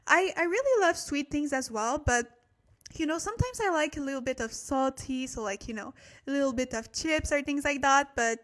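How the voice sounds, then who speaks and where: A high-pitched woman hosts a casual online language lesson. Her accent mixes French and Korean colouring, and she is friendly and giggly as she answers and thanks her viewers. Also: animated, moderate pace, fairly bright tone. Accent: mixed French and Korean-tinged English. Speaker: livestream Korean language teacher.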